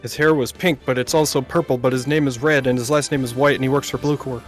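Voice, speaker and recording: A man's voice: mid-range voice, steady tone, quick pace, faint music behind. A man co-hosting a game playthrough, talking casually. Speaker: co-host, guest voice actor. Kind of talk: casual chat.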